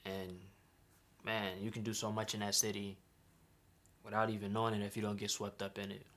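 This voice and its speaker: A man's voice: lowish voice, level tone, fairly quick, fairly bright tone. A young man from Chicago talking casually about his custom sneaker, in laid-back slang that often ends with "right?". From Chicago, USA. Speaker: sneaker designer. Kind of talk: casual vlog explanation.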